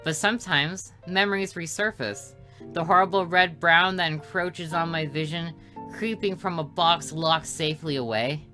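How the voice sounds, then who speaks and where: A woman's voice: on the low side, normal speed, sharp tone. A woman reading a visual novel aloud as a let's player, mixing narration with casual commentary. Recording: music behind the voice. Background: game music.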